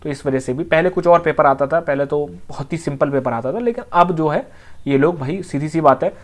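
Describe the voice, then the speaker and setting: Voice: medium pitch, a little fast. An exam coaching teacher, explaining things informally and conversationally, repeating points and often checking that students follow. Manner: conversational, with frequent check-in phrases and repetition.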